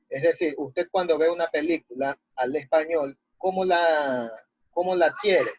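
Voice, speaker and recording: A male teacher with a Latin American Spanish accent explains and reads aloud in a didactic way. His voice is husky and sits at a middling pitch. The recording is muffled and very dry, with little room sound. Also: a little mellow, no echo. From Ecuador. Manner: didactic, checking with rhetorical questions. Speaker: high school language and literature teacher. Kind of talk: online lesson, explaining and reading a text aloud.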